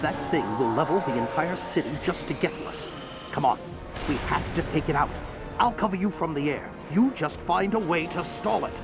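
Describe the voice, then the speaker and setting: A man voicing a gruff older alien general in a video game, bold and commanding, with an urgent manner. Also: a little high, moderate pace, loud music behind the voice, lightly acted. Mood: tense, focused.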